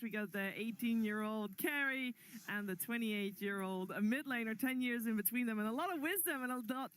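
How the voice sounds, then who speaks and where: A woman hosting an esports analysis desk who speaks fluently and hands the talk to her co-hosts. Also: a little high.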